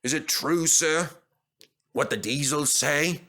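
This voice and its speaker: A man's voice: fairly high pitch, slow, bright, forceful tone. Man voicing a proud, gloomy big steam engine. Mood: gloomy, anxious.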